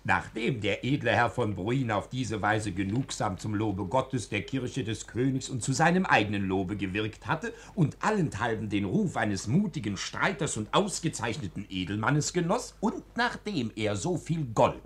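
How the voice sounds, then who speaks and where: A man's voice: on the low side, sing-song, moderate pace, hardly any pauses. A man playing a wry, folksy chronicler in a 1940s German radio drama, telling the tale ornately and tongue-in-cheek. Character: wry, folksy male chronicler addressing good people. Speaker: narrator in a radio play.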